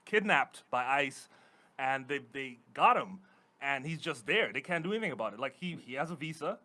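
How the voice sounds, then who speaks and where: Bright-voiced Trinidadian man chatting. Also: medium pitch, moderate pace. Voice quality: animated, joking, repeats words. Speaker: podcast co-host. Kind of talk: casual comedic chat.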